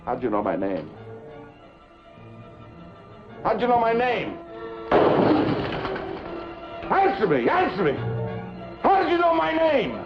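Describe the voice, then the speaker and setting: An actor playing an intense, haunted newspaper columnist with an American accent, urgent and emphatic, in a 1950s television drama. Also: male voice, very high-pitched, wildly swooping pitch, normal speed, music in the background, full character voice. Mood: panicked, demanding. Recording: dull, muffled 1950s television soundtrack.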